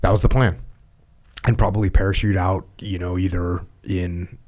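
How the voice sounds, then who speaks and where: Low-voiced man hosting a podcast. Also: level tone, normal speed, darkish tone. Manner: blunt, probing questions and plain storytelling. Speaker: podcast host. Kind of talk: casual conversational interview.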